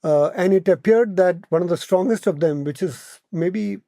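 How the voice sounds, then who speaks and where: A man with an Indian accent explaining things as a podcast guest, in a measured, halting way that often repeats words. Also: high-pitched, creaky, warm tone, no echo, studio quality. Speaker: podcast guest, policy analyst.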